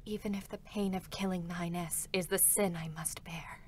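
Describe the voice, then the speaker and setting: An actress playing a grieving, intense young female combat android in a dramatic reading, hesitant and breathy with emotion. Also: fairly low pitch, steady tone, moderate pace, a little mellow, a little hiss or hum, lightly acted. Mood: grim resolve. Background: faint background music.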